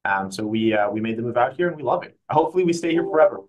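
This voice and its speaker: Fast-talking man, guest in interview. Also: medium pitch, lively intonation, dull audio. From Mexico. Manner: fast, chatty, self-correcting. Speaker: guest, private client advisor. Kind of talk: conversational interview.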